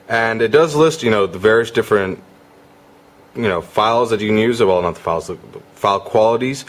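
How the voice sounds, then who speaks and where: A man giving a casual, conversational product demo as a gadget reviewer. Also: lowish voice.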